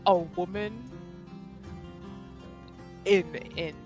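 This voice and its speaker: Giggly, slow-paced woman playing a tabletop game. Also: on the low side, fairly even intonation, over music. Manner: giggly, informal. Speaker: player in a tabletop roleplaying game. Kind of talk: casual game chat with friends.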